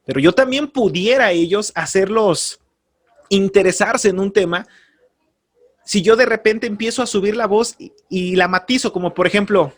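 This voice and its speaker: A man teaching a lesson on radio announcing, speaking earnestly and calmly, giving advice straight to his listeners and repeating key terms. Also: high voice, normal speed. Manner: earnest, advisory, addresses listeners directly. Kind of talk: spoken course lesson.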